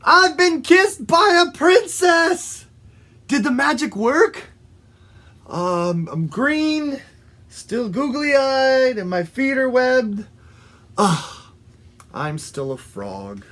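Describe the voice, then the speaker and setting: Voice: high-pitched, animated, very slow, voice pushed up, full character voice. A storyteller voicing a naive, eager little storybook frog, earnest and dramatically drawn out. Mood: hopeful, then let down.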